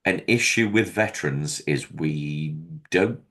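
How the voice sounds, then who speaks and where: Very deep-voiced man, hesitant and self-deprecating. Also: slow pace, pushed and bright. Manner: self-deprecating, hesitant with many ums and restarts. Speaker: comedian and veteran guest. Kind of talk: candid interview chat.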